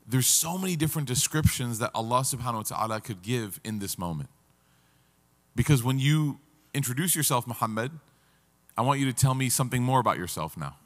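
A man's voice: medium pitch, animated, normal speed, long pauses, fairly bright tone, long reverb tail. A man in his thirties, seated at a table, teaching an interactive Quran class and asking the audience questions. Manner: engaging, asks the audience questions.

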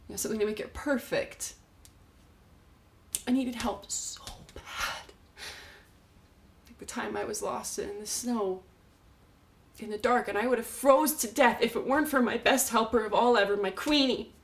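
A woman performing a theatrical monologue as an unstable, self-loathing young woman, confessing in a halting, volatile way. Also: fairly high pitch, a little hiss or hum, lightly acted. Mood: haunted, hushed. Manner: halting, stammering confession swinging between whispers and cries.

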